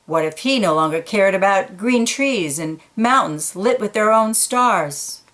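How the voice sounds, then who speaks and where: Gentle woman reading a picture book aloud. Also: mid-range voice, expressive, unhurried. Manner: gentle, engaged, pointing out details. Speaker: children's book reader.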